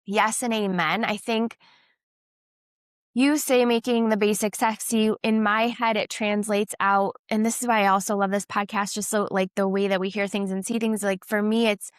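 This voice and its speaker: A podcast co-host, she sounds nasal while getting over a cold. She speaks earnestly and prayerfully, in a smooth, clear voice at an ordinary pitch, recorded close and dry. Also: little pitch movement, moderate pace, dry recording. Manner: earnest, warm-hearted, faith-filled. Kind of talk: candid casual chat.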